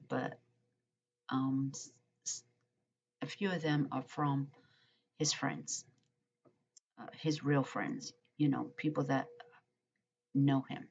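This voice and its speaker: A woman's voice: a bass voice, a little hiss or hum. A woman vlogger reading a letter aloud and commenting, hesitant with frequent ums and uhs, in muffled lo-fi audio. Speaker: true-crime vlogger.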